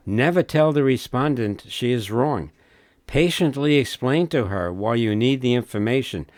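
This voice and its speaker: A man narrating a scripted instructional film in a measured, instructive manner. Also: on the low side, dry recording, studio quality.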